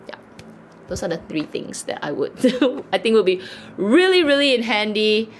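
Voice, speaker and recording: A woman's voice: on the high side, very animated, unhurried, slight background noise. A woman from Malaysia, chatting casually as she answers viewer questions, laughing often between thoughts. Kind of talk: casual Q&A chat.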